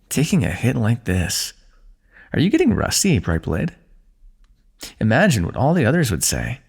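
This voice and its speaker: A low, gravelly voice moving through lively, expressive turns: a young ruler, as a man plays him, tending a wounded sword-bearer. He speaks close and soft, with gentle chiding and dry teasing. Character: male ruler of a kingdom, tending a wounded knight. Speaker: ASMR roleplay performer. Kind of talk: scripted fantasy ASMR roleplay.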